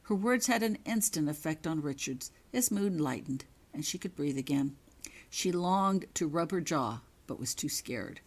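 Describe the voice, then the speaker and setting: A woman reading her own fiction as a scripted, dramatic story narration, in measured, suspenseful short phrases. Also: sits low, expressive.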